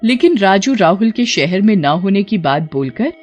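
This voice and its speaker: A woman's voice: medium pitch, sing-song, normal speed, a little mellow, lo-fi sound, faint music behind. A woman reading scripted narration for a horror cartoon story.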